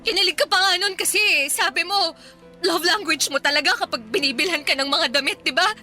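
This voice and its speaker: A woman's voice: very high voice, brisk, pushed and bright, exaggerated voice. A woman playing a heartbroken, clingy young ex-girlfriend in a radio drama, pleading and indignant with dramatic emotion. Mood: hurt, tearful. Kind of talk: Filipino radio drama acting. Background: background music.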